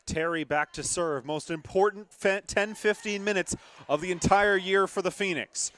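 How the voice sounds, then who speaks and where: A young man calls a volleyball match live in a slightly high voice. He is emphatic, mixing the action with conversational remarks to his partner. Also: moderate pace, fairly bright tone. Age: young adult. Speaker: volleyball play-by-play announcer. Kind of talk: live sports commentary.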